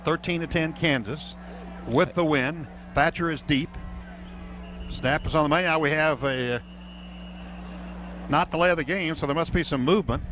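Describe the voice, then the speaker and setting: A man with an American accent and a fairly high voice delivers live football play-by-play for radio. The broadcast sound is somewhat dull, with clear stadium noise behind him. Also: moderate pace, pauses often. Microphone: broadcast booth microphone.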